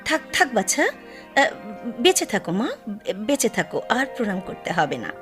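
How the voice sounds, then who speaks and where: An actress playing an elderly, doting village mother in a radio drama, plaintive and halting. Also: fairly high pitch, sing-song. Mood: kindly, chatty. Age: older. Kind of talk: Bengali radio drama acting. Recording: music behind the voice.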